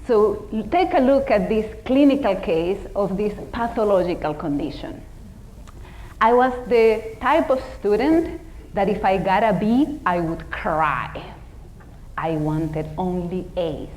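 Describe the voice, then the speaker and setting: A woman's voice: mid-range voice, lively intonation, takes it slowly, a little mellow, a little hiss or hum, low-quality recording. A middle-aged woman from the Dominican Republic with a Dominican Spanish accent, giving a humorous, self-deprecating motivational talk as keynote speaker.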